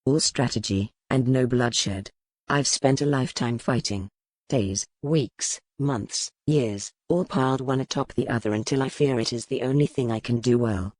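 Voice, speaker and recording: A man playing a war-weary, reserved medieval duke in a historical romance audiobook, with measured, formal speech. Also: mid-range voice, darkish tone, no room sound, close to an everyday voice. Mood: reflective, weary.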